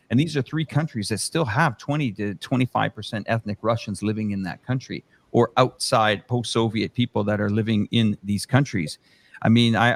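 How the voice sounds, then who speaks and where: A man with a mid-pitched voice speaks as a political commentator on a livestream panel. His delivery is emphatic and impassioned, built on rhetorical questions and points pressed home to the listener. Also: no echo. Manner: emphatic, rhetorical questions, acts out imagined exchanges.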